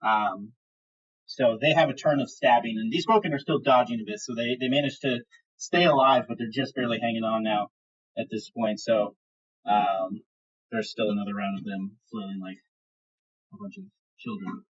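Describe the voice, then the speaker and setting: Husky guy game mastering a fantasy tabletop game, making it up as he goes, kinda muffled audio. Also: fairly low pitch, steady tone, normal speed.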